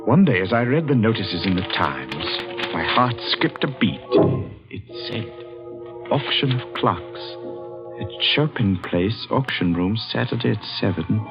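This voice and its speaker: A haunted young narrator of a 1940s radio thriller. His low voice swings dramatically, brooding and anxious, on a muffled old recording with music underneath. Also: big pitch swings, measured pace. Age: young adult.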